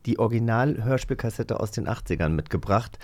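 A man's voice: on the low side, lively intonation, a little fast. A man co-hosting a podcast, telling stories in a casual, chatty and playful way. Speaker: podcast host.

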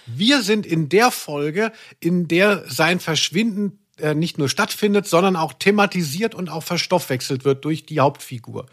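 A male podcast host with a somewhat high-pitched voice. He chats humorously in long-winded sentences, with a mock-serious manner. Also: moderate pace, hardly any pauses, fairly bright tone.

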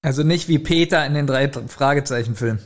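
A man's voice: mid-range voice, fairly quick. A man, speaking casually as a podcast co-host giving a review.

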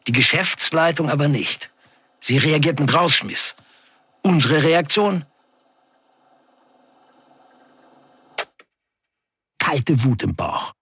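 A man as a husky, defiant factory worker. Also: fairly high pitch, expressive, unhurried, bright, forceful tone, exaggerated voice. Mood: grim, resolute. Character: defiant male Turkish factory worker recounting a wildcat strike. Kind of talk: dramatic first-person audio drama narration.

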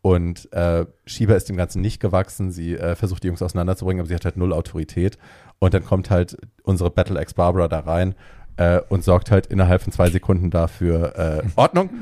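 A man co-hosting a podcast, casually retelling a film's plot in a lively way and dropping in English phrases. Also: deep voice, fairly quick, no echo. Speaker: podcast co-host. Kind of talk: casual chat retelling a film.

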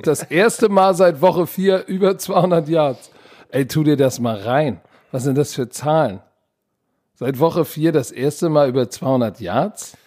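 Excitable German man, slightly high voice. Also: unhurried. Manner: excitable, slangy, repeats words. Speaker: podcast co-host. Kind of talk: casual sports chat.

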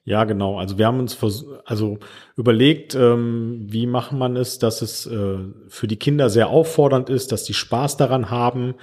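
A man's voice: medium pitch, normal speed, darkish tone. A man explaining things earnestly in a casual interview, as a teacher and social worker.

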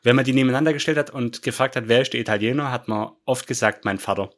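A man in his thirties who officiates weddings, talking in a relaxed, rambling way on a podcast. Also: mid-range voice, fast. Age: 30s. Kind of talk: casual chat.

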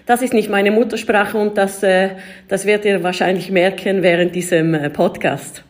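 A woman in her forties from Ticino whose native language is Italian, speaking German with an Italian-Swiss accent as a diplomat guest on a podcast, hesitant and full of filler sounds but lively. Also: medium pitch, normal speed. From Ticino, Switzerland. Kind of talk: podcast interview storytelling.

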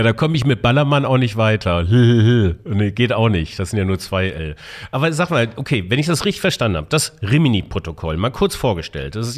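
A man hosting a podcast, talking informally and jokingly in a casual interview style. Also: mid-range voice. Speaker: podcast host.

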